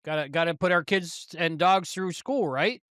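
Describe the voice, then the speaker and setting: Male podcast co-host, middle-aged, fairly high bright voice, playful banter, dry close recording. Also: moderate pace, dry recording. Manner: playful, jokey.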